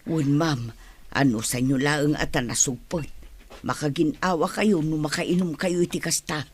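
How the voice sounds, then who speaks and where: A woman's voice: sits low, steady tone, moderate pace, slightly rough. A woman playing an attentive, deferential employee in a Philippine radio drama. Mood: soothing.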